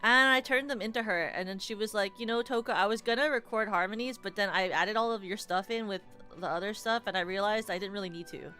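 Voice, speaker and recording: A woman's voice: medium pitch, fast talker, few pauses, pushed and bright, faint music behind. A young adult woman streamer in casual, rambling livestream chat, playfully teasing her viewers.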